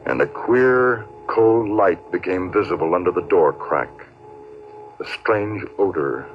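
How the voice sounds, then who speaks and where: Noisy, dull old radio recording of an American storyteller. He narrates an eerie tale in a low male voice, grave, dramatic and ominous. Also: a little slow.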